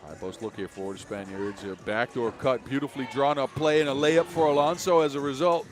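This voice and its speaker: A man with a Dutch accent giving fast live basketball play-by-play, his energy rising with each play. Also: medium pitch. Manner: energetic, rising with the action, self-mocking asides. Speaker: play-by-play commentator. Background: music.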